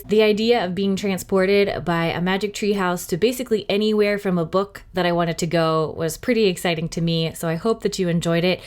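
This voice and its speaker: A woman hosting a children's storytime, narrating expressively and addressing young listeners directly. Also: medium pitch. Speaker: library storytime host and reader.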